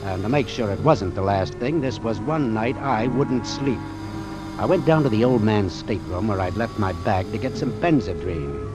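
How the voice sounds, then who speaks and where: Suspicious doctor-narrator , male actor, old-time radio suspense, terse delivery, animated pitch, music underneath. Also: moderate pace, lightly acted. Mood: tense, brooding. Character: wary, hard-boiled doctor narrating his sea adventure.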